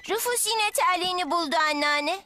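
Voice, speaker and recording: A woman's voice: very high-pitched, brisk, pushed and bright. A woman voicing a cheerful, eager young girl who talks coaxingly in a children's cartoon dub. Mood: cheerful, playful. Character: cheerful young girl devoted to her dog.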